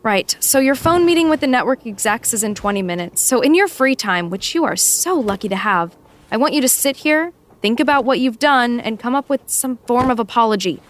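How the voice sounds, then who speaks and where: A woman's voice: a little high, expressive, brisk, close to an everyday voice. A woman playing a blunt, exasperated assistant in an audio drama, firm, articulate and dryly sarcastic. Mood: firm, scolding. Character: blunt, exasperated female assistant scolding her boss. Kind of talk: naturalistic audio drama acting.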